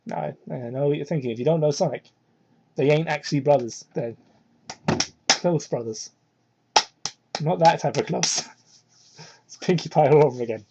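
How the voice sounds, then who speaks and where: A woman's voice: moderate pace, creaky, long pauses, background noise. A woman reading fan fiction aloud as an amateur, breaking off for irreverent, sweary asides, on a poor microphone. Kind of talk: dramatic reading with mocking asides. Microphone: cheap microphone. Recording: muffled low-quality microphone.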